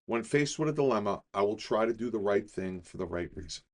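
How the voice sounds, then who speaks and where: A middle-aged man reading a daily recovery meditation in a calm, deliberate way, slowing on key phrases. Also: fairly low pitch. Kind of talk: measured scripted reading.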